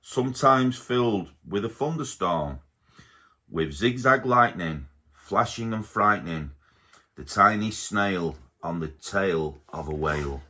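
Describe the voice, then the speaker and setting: A man reading a rhyming picture book aloud to his baby at home, slowly and gently. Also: sits low, expressive. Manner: slow, gentle storybook reading.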